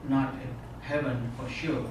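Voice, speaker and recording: A man's voice: medium pitch, steady tone, unhurried, a little hiss or hum. A middle-aged man from India tells his personal story at a conference as an earnest storyteller who often says "you know.". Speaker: conference speaker. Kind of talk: personal testimony talk. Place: conference hall. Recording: echoey hall, speaker far from mic.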